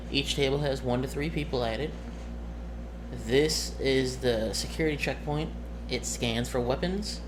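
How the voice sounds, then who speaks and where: A man acts as game master, laying out a tabletop game scene in a casual, explanatory way. His delivery is halting and he often corrects himself. His voice sits at an average pitch, and there is clear noise over the recording.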